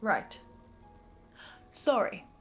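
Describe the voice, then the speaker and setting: A woman's voice: very slow, faint music behind. A woman playing a nervous, earnest, apologetic researcher in a naturalistic audio drama, stammering and repeating words. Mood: apologetic, concerned. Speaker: host of a listen-along show.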